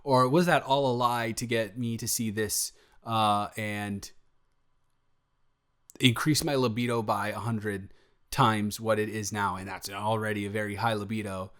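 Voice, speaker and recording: A man's voice: lowish voice, steady tone, a little slow, a bit bright. A man playing an eager, smitten young boyfriend in an improvised intimate audio roleplay, stammering and correcting himself as he goes. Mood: flustered, rambling. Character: eager, smitten, flustered young boyfriend, sweet and easily overwhelmed.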